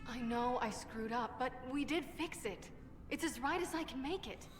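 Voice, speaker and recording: A woman's voice: high voice, moderate pace, over music, natural voice. Brash, defensive woman inventor in an echoing hall, voiced by an actress. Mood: remorseful, stubborn. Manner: blunt, defensive. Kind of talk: video game dialogue acting. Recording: echoey hall reverb, faint background noise.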